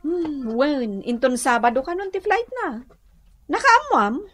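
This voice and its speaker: A woman's voice: high-pitched, very animated, a little slow, a bit bright, faint music behind, exaggerated voice. A woman playing a practical, gossipy, opinionated village cousin in a radio comedy drama, emphatic, with a Northern Luzon Filipino accent.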